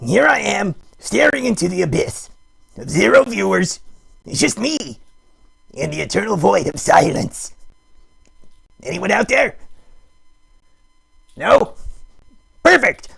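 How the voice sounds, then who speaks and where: A man voicing a needy, melodramatic puppet streamer, theatrical, sarcastic and mock-grand, with a gravelly, husky voice, improvising a comic livestream. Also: high voice, big pitch swings, slow, long pauses, fairly bright tone, heavily acted. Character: melodramatic, needy puppet live streamer with big wry bravado. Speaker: host of a live puppet show stream.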